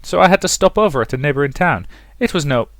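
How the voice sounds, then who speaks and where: A man's voice: on the high side, lively intonation, fast, fairly bright tone, dry recording, studio quality, pitched above the performer's own voice. A man voicing a suave, defensive aristocratic fiancé from a visual novel, protesting in a weary, formal way. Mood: exasperated.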